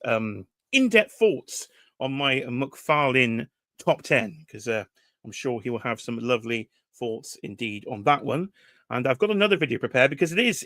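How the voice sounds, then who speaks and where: Bright-voiced British man hosting a toy livestream. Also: fairly high pitch, no echo, clean studio sound. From United Kingdom. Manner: chatty, teasing, reads chat names aloud. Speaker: livestream host. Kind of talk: casual livestream chat.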